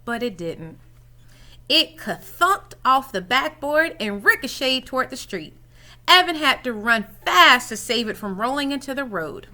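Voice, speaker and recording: A woman reading a children's story aloud in an expressive, animated way, dramatizing it as she goes. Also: high-pitched, unhurried.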